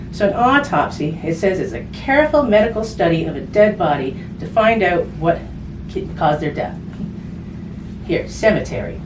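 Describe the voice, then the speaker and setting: Lady reading a kids book out loud, pretty low voice, talks right to the little ones, roomy echo. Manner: addresses young listeners directly, explains simply. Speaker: children's book reader. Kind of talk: read-aloud picture book with explanations to children. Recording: distant mic, roomy echo, clear background noise.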